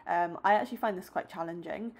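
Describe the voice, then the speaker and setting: A young woman in her twenties talks casually to her followers. Her voice sits a little low for a woman, upbeat and chatty when close to a phone, then steady and explanatory as she walks through her training. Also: fast talker. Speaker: fitness vlogger. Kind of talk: casual vlog chat and workout voice-over. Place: indoors at home, close to a phone camera.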